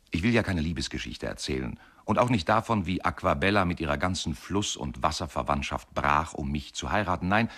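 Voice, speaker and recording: An actor narrating a radio play as a wry, courteous older husband, measured and dryly ironic. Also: male voice, low-pitched, a bit bright, breathy edge, heavily acted. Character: wry, courteous older husband reminiscing about his marriage. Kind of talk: radio play monologue, first-person narration.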